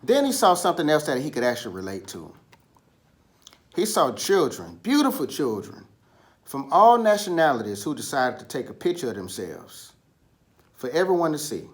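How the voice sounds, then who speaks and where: A man telling a children's story in an animated style, with dramatic pauses and drawn-out, emphatic words. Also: a little high. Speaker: storybook reader.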